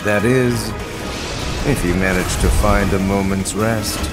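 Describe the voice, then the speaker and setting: An actor playing a cold, scheming young male villain in a video game, with a measured, taunting manner. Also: fairly low pitch, steady tone. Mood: smug, taunting. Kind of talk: video game cutscene acting. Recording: loud music behind.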